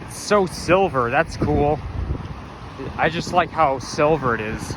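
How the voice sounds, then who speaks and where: A man with a loud voice narrates his walk along a snowy city street, chatty and thinking aloud as he goes. His voice sits a little higher than most men's. Passing traffic and wind come clearly through the camera mic. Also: expressive, slow pace. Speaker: vlogger. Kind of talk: casual walking vlog narration.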